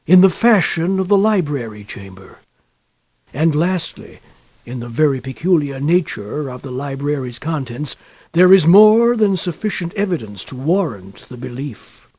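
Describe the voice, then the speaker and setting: A male reader performs a gloomy nobleman recounting a gothic tale in literary, dramatic style. His voice sits a little high and moves widely in pitch, with solemn, measured phrasing and deliberate pauses. The sound is close and dry. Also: sing-song, mellow, no echo. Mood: grave, proud. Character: gloomy, brooding male narrator from an old noble family. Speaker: audiobook reader.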